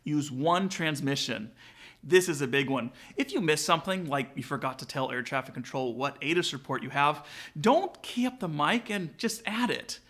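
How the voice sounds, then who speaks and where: A man with a fairly high, animated voice presents a scripted tutorial. He talks casually and directly to his audience, like a vlog host, with plenty of melodic movement in his delivery. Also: normal speed. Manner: casual, direct address to viewers. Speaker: aviation vlog host, student pilot. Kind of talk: upbeat scripted tutorial narration with sponsor read.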